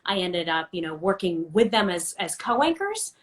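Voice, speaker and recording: A woman's voice: fairly low pitch, sing-song, normal speed. A woman hosting a casual, friendly interview, chatty and personable with a teasing streak. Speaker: interview host.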